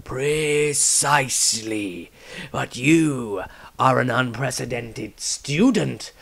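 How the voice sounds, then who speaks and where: A man voicing a stern old grey-haired wizard patriarch in a fantasy audiobook, speaking in a slow, deliberate way. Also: medium pitch, gravelly, fairly bright tone, heavily acted. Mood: stern, measured. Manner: slow, measured, drawn-out delivery.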